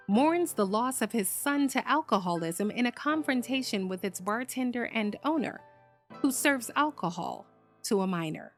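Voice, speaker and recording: A woman reading scripted documentary narration in an expressive, deliberate, dramatic way. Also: a little high. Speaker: narrator, performer.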